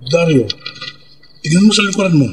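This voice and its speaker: A man playing a nervous, doubting accomplice in a horror radio drama, with a husky voice. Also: a little high, lively intonation, a little fast, heavy background noise, close to an everyday voice.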